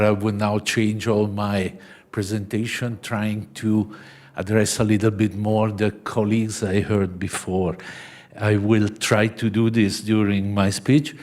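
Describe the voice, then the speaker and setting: A man with an Italian accent giving a seminar presentation in halting English, often adding "no?" as he searches for words. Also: on the low side, steady tone. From Switzerland. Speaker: conference speaker.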